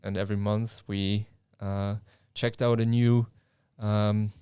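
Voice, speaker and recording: Young man, wry and relaxed. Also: lowish voice, slow pace, smooth, dry recording, clean studio sound. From Germany. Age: young adult. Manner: relaxed, wry deadpan humor. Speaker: podcast co-host. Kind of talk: casual chat. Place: indoor room, seated in front of camera.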